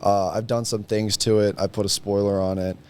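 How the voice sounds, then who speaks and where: A man's voice: lowish voice, level tone, brisk, darkish tone, a little hiss or hum. A young man in his late teens chatting casually as a guest, modest and understated. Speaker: guest, high school senior. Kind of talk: casual chat.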